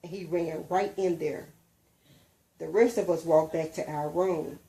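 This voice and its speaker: A woman reading a children's storybook aloud in an expressive way. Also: deep voice, a little slow, creaky, low-quality recording. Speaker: reader, mother.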